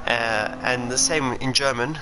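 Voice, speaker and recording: A man's voice: medium pitch, steady tone, unhurried. A South African man, a racing driver, chatting and thinking aloud while streaming a sim racing game. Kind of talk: live gameplay commentary. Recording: game engine noise underneath. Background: racing game car sounds.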